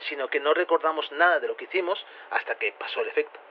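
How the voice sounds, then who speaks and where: A man's voice: on the high side, fast, close to an everyday voice. An actor with an accent from Spain playing a laid-back male scientist who explains things casually and hesitantly in an audio drama interview. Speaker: interviewed researcher. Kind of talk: casual spoken interview, acted. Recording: tinny, noisy phone-like radio link.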